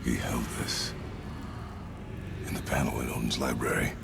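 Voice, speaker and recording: A huge, stern warrior father in a video game. The voice is rock-bottom low and thick with gravel. He speaks tersely and gravely, with loud noise behind him. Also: normal speed, heavily acted. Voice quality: very deep, gravelly. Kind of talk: video game character acting.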